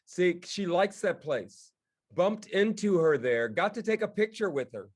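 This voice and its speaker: A man's voice: high-pitched, lively intonation, a little slow, breathy edge. A man co-hosting a podcast, telling anecdotes in casual conversation. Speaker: podcast co-host.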